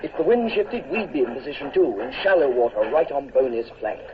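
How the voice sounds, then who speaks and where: An actor playing a tired young naval lieutenant, earnest and deferential. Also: male voice, medium pitch, big pitch swings, a little fast, lightly acted. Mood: anxious. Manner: earnest, deferential to his commander. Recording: muffled old radio with clear hiss.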